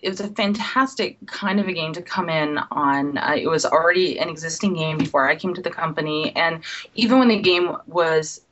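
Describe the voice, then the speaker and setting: Low-voiced chatty middle-aged woman. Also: a little fast, fairly bright tone. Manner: chatty, restarts phrases, laughs often. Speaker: game company editor. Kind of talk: casual interview answers. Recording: dull lo-fi recording with faint noise.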